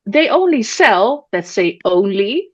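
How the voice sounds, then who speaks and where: A woman from the Netherlands, speaking English as a columnist guest in a casual roundtable, thinking aloud with frequent pauses and restarts. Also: mid-range voice, big pitch swings, husky, dull audio. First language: Dutch.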